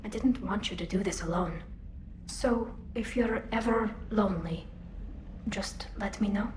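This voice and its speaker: A woman's voice: medium pitch, unhurried, darkish tone, lightly acted. Earnest young alien woman, played by an actress, echoey and noisy. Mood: tender, hesitant. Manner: earnest, hesitant, self-doubting. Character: earnest young alien woman, burdened admiral and software expert. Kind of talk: video game cutscene acting. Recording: roomy echo with clear background noise.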